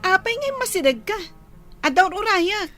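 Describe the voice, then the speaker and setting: Woman playing high, lively teenage village schoolgirl. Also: normal speed, over music. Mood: dismissive. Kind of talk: comedy radio drama acting.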